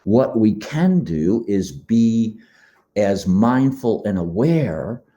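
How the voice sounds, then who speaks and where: A man hosting a podcast, giving a slow, meditative spiritual talk in a slightly hoarse, froggy voice. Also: on the low side, big pitch swings, dark tone, muffled recording. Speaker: podcast host. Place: quiet beach condo.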